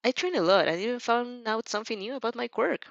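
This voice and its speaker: Woman acting a lively teenage hero girl. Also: on the high side, quick pace, gravelly, no room sound, dull audio, voice pushed up. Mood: upbeat. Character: composed, lightly amused teenage girl hero student.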